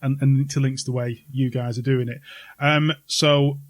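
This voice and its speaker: A man's voice: mid-range voice, little pitch movement, moderate pace. A man hosting a podcast in casual conversation, with a chummy, enthusiastic way of talking. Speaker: podcast host. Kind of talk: casual chat.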